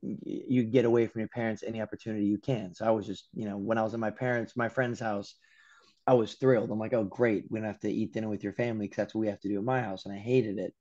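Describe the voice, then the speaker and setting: A young American man from Los Angeles, a guest telling animated stories over a video call, acting out quotes and swearing casually. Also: fairly low pitch, quick pace. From Los Angeles, USA. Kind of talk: casual interview chat. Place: room at home by a window. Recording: dull lo-fi call audio.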